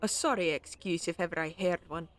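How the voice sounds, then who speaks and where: A woman's voice: on the low side, brisk, natural voice. A woman playing a friendly, teasing market baker with a folksy, wry manner in a fantasy audio drama. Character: friendly, teasing female market baker hawking meat pies. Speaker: voice actor. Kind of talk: fantasy audio roleplay acting.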